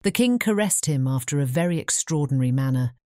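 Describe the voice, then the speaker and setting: Storybook narrator: British woman, deep mellow voice, dry close recording. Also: lively intonation, a little fast, no echo. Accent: British English. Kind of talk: scripted fairy-tale narration.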